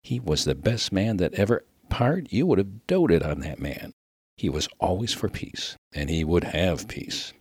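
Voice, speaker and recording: A man voicing a rough, good-natured Old West miner with a rustic American accent and a slangy, emphatic way of talking in a humorous story. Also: low voice, big pitch swings, unhurried, darkish tone, dry recording, studio quality, heavily acted. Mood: fervent, admiring. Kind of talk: dramatic reading of a humorous story.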